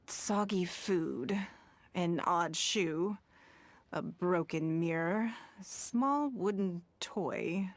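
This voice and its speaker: A woman's voice: lowish voice, dry recording, full character voice. An actress playing a shrewd, wealthy noblewoman in a video game, composed, assured and refined. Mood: appraising. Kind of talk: video game character acting.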